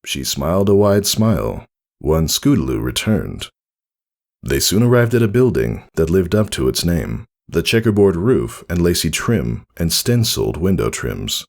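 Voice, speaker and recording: Deep, creaky-voiced man narrating, very animated. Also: a little slow. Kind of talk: scripted storybook narration, dramatic audiobook reading.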